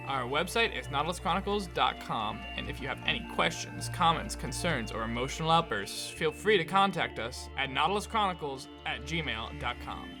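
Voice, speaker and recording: A man's voice: fairly high pitch, lively intonation, normal speed, hardly any pauses, music in the background. A man playing a put-upon podcast narrator, delivering scripted narration. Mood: announcing. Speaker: narrator reading credits.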